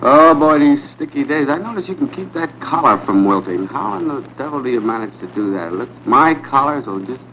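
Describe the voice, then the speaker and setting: A man's voice: mid-range voice, animated, fairly quick, hardly any pauses. An American man in casual chat, secretly recorded on a concealed microphone in the 1940s. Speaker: hidden-microphone prankster. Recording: tinny, noisy 1940s hidden-microphone recording.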